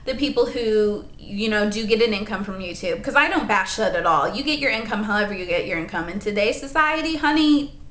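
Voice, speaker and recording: A woman in her late twenties chatting in a rambling, animated way for her vlog, full of asides and "like.". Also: medium pitch, brisk, echoey room, slight background noise. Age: 20s. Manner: rambling, animated, lots of "like" and "honey". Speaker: vlogger.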